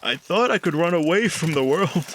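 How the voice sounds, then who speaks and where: An actor playing a guilt-ridden, horror-struck scientist losing his mind in a 1940s-style radio drama, halting and repeating himself melodramatically. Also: a little high, expressive, a bit bright, a little hiss or hum. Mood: frantic terror.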